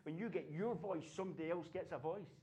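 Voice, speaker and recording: High-voiced impassioned male preacher. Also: fairly quick. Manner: impassioned preaching, rising to shouts. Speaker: pastor. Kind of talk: sermon. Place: church.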